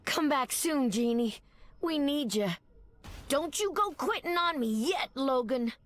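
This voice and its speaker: An actress playing a tough, warm-hearted game superheroine with a folksy, sassy Southern US accent. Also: female voice, sits high, lively intonation, a little slow, dry recording. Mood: encouraging, sassy. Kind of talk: video game voice lines.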